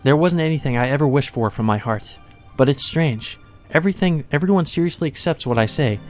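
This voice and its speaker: A man's voice: a little high, expressive, fairly quick, pitched above the performer's own voice, natural voice. A man voicing an emotionless, doll-like young maid from a visual novel, detached and wistful. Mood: detached, confessing. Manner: detached, wistful, softly confessing. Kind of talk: visual novel character reading. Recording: music under the voice.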